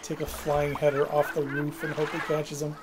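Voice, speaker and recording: A man's voice: fairly high pitch, completely flat delivery, moderate pace, noisy background. A man casually commentating on a game with a co-player, making sarcastic, joking asides. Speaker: co-host of gameplay show.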